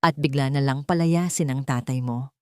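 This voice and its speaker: A woman's voice: rumbling low, moderate pace, warm tone, clean tone, dry recording, clean studio sound. Woman voicing a venomous, boastful ex-lover man. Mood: menacing, mocking. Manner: taunting, sneering.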